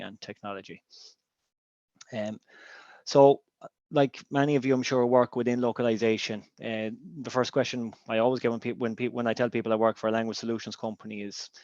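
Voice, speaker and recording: Irish man, native Irish speaker, low voice, hesitant webinar presenter, dry and dull audio. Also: darkish tone, no echo. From Ireland. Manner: hesitant, many ums and restarts. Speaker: business intelligence director.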